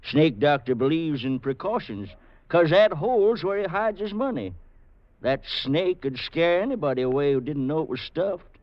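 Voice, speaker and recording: Measured Southern country doctor, played by a man. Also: a little high, animated, no echo, natural voice. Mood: calm, explaining. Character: calm, reasoning country doctor, male, shrewd. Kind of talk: 1940s radio drama acting. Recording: dry, muffled vintage radio recording.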